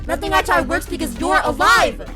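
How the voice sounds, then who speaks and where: A woman's voice: extremely high pitch, animated, normal speed, over music. A woman playing a jealous, unhinged teenage class president in an anime fan dub, with a taunting, theatrical delivery. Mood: unhinged, bitter.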